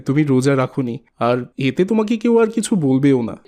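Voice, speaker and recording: A man's voice: mid-range voice, sing-song, a little fast, dark tone, lightly acted. A man voicing an indulgent, sly young husband in a family cartoon, coaxing as he talks. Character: indulgent, scheming young husband soothing his wife. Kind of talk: Bengali family cartoon voice acting.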